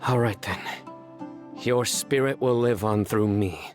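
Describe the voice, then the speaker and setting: A man voicing a solemn, terse young competitor in an anime-style video game, with a deadpan delivery. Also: on the low side, measured pace, music in the background, lightly acted.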